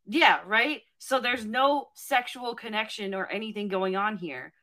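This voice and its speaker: A married woman who is a podcast guest, giving her opinion in casual chat with many pauses and ums. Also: medium pitch, bright, forceful tone.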